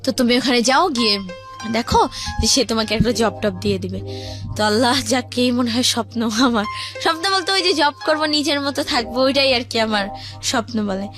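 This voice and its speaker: A woman's voice: on the high side, expressive, fairly quick, over music. A young woman with a Bangladeshi accent telling her life story in a colloquial, conversational way, often voicing what others said. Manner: colloquial, acts out quoted speech.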